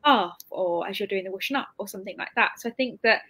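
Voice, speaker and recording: A woman's voice: mid-range voice, quick pace, bright, forceful tone. A woman in her twenties hosting a casual live interview over a video call, chatty and effusive. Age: 20s. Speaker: interviewer, bookshop event host. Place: indoor room at home, on a video call.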